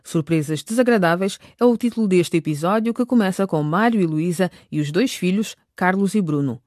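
A woman's voice: on the low side, brisk, darkish tone, no room sound, studio quality. A woman reading scripted narration for a radio drama.

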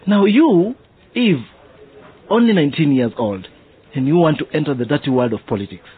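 A man's voice: a little high, animated, moderate pace, slightly rough, phone-quality sound. An actor playing a middle-aged, dramatic, protective father in a radio drama. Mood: exasperated. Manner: exclaiming, sighing, dramatic. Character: doubtful, protective, loving African father of teenage children.

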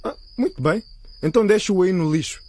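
A man's voice: high-pitched, brisk, dark tone, husky, dry recording. A young man playing a callous, evasive student in a radio drama, curt and dismissive. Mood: cold, curt.

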